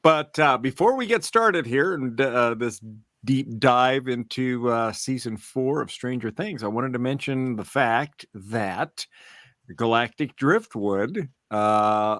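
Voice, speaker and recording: A man's voice: mid-range voice, takes it slowly, a bit bright, no echo, studio quality. A man hosting a casual podcast chat in a rambling, hesitant way. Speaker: podcast host.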